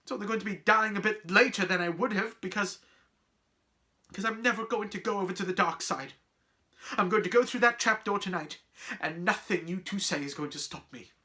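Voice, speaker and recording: Earnest young boy hero for a storybook read by a narrator, urgent, forceful and lively, tripping over words. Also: mid-range voice, normal speed, voice pushed up. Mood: angry, desperate.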